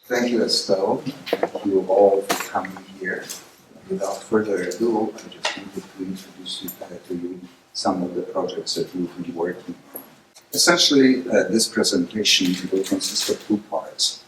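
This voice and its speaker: A middle-aged man whose first language is Polish, giving a lecture presentation, hesitant with many filler pauses but rising with emphasis on key points. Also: sits low. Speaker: guest speaker. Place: lecture room. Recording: echoey hall, distant mic, faint noise.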